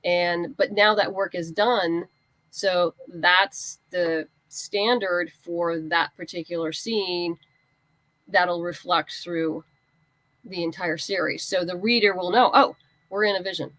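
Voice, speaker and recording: A woman's voice: fairly low pitch, fairly even intonation, slow pace, fairly bright tone, no echo, poor audio. A woman speaking as an interview guest, a writer explaining her creative work informally through anecdotes and quoted exchanges. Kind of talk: conversational interview answers, anecdotes.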